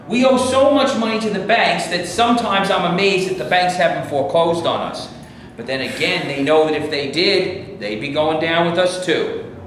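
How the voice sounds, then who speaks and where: Play reading in a reverberant hall, noisy audio: male reader as defensive film executive, higher-pitched, firm and matter-of-fact. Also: fairly bright tone. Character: hard-nosed, defensive male movie studio boss. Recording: echoey live room, distant camera, noisy.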